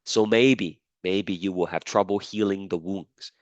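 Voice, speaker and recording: A man's voice: low voice, fairly even intonation, a little slow, no echo, dull audio. A man teaching a biology class while sick and losing his voice, repeating key points and checking in with students. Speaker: biology lecturer. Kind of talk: classroom lecture.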